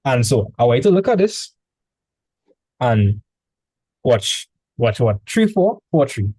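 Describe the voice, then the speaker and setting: A man with a Trinidadian accent tutoring a student in math over a video call, relaxed and chatty. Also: medium pitch, takes it slowly, breathy edge, dry recording, rough recording. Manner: relaxed, chatty, repeats words when starting. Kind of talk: casual online tutoring session.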